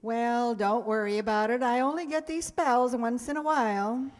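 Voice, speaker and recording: A woman playing a wry, patient, gently sarcastic schoolteacher in an old-time radio comedy. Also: fairly high pitch, normal speed, close to an everyday voice. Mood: dry, patient. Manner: dry, wry delivery. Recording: very reverberant hall sound.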